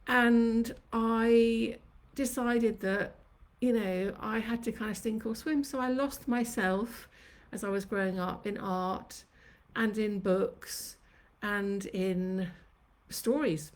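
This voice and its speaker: A woman's voice: mid-range voice, fairly even intonation, takes it slowly, hardly any pauses. A woman in her fifties, from Sri Lanka, hosting her own live stream from her kitchen and telling her life story in a chatty, reflective way. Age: 50s. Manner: chatty and reflective, reminiscing at length. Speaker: host of her own channel. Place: home kitchen.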